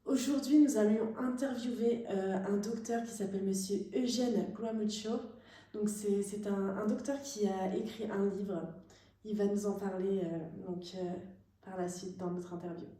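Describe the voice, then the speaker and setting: A woman's voice: medium pitch, steady tone, moderate pace, dark tone. A woman in her twenties, a journalist hosting an interview, speaking with some hesitant fillers. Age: 20s. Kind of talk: interview hosting, reading aloud. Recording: echoey room, mic far off.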